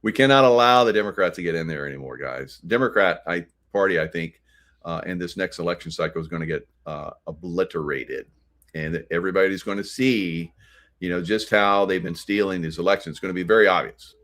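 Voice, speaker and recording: A man with an American accent and a low voice talks through political commentary as a host. His delivery is halting and rambling, clearly unscripted. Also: fairly bright tone. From United States.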